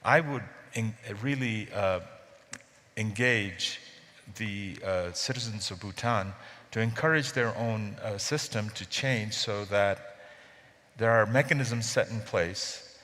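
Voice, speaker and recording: A middle-aged man speaks on a conference panel in a fairly low voice. He hesitates often, restarting phrases and filling pauses. His words carry a long reverb through a large hall. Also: pushed and bright, a little hiss or hum. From Bangladesh. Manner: hesitant, many fillers and restarts. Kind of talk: panel discussion.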